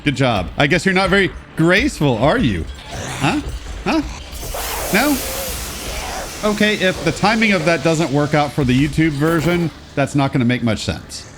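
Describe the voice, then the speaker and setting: A congested young man talks through a game with casual, sarcastic asides. His pitch moves around a lot as he comments. Faint music plays behind him. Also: lively intonation, a little slow. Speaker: let's play host. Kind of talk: gameplay commentary.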